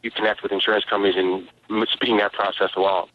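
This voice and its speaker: A man, a spokesman giving halting, informative answers in a live phone interview over a tinny line. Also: lowish voice, flat delivery. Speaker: relief agency spokesman. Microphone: telephone.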